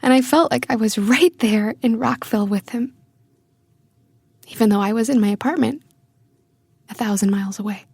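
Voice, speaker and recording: Audio drama lead: tender, playful young woman, higher-pitched, confiding and expressive, captured close and dry. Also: normal speed, vocal fry, long pauses, husky, no echo. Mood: sad, wistful. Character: tender, playful young woman missing her distant family. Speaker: actress performing a monologue. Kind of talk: intimate first-person audio drama monologue.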